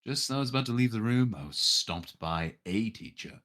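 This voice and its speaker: A man's voice: on the low side, expressive, a little fast, fairly bright tone. A man streaming a visual novel, narrating aloud and breaking off into irreverent, sweary joking commentary. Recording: faint music behind the voice.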